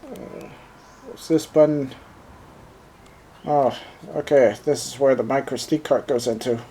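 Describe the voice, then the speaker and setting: A man's voice: medium pitch, steady tone, a little slow, dark tone, a little hiss or hum, low-quality recording. A man whose first language is German, speaking English with a German accent while unboxing and reviewing a handheld, thinking aloud with many fillers. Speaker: gadget reviewer.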